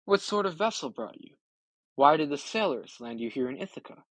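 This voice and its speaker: A man's voice: on the high side, animated, fairly quick, fairly bright tone, no room sound. A man voicing a loyal old swineherd who grieves for his master, in an audiobook of an epic poem. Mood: gently curious.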